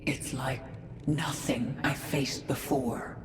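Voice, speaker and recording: A woman's voice: very deep voice, fairly even intonation, takes it slowly, whispered. A woman playing a fierce, vengeful commander of an alien swarm in a video game, speaking with menacing authority. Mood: uneasy. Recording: echoey, distant, under loud game music.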